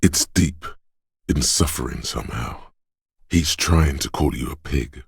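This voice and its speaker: A gravelly, grim inner voice of the senses. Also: male voice, very deep voice, no room sound, studio quality, heavily acted. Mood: brooding. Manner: slow, hushed, lingering on sensory detail. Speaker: voice of a game's inner perception skill. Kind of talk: second-person game narration.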